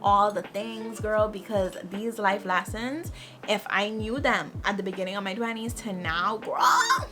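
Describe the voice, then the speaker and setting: A woman in her twenties giving lively, faith-filled life advice to her viewers, repeating her key phrases and calling them "girl". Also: medium pitch, a little slow, over music. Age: 20s. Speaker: lifestyle vlogger. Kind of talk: casual motivational advice talk.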